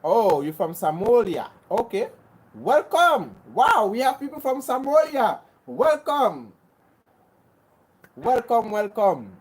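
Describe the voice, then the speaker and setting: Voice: medium pitch, big pitch swings, takes it slowly, breathy edge. A livestream host from Grenada, talking earnestly to viewers. Often repeats and restarts phrases and speaks in an insistent, preachy way. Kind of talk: casual livestream chat answering viewers.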